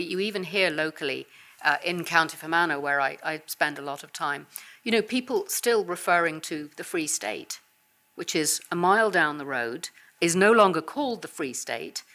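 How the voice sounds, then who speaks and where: A woman's voice: sits low, a bit bright. A woman with an English accent, a visiting professor, giving a seminar talk and answering questions in a thoughtful, conversational way. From England. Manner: thoughtful, conversational lecturing with frequent "uh" and "you know". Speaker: visiting professor and guest speaker. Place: university seminar room.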